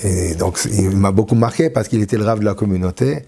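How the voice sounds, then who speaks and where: Older French rabbi, 60s, dark gravelly tone, hesitant reminiscing interview delivery. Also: male voice, on the low side, slight background noise. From France. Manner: halting, with many fillers and restarts.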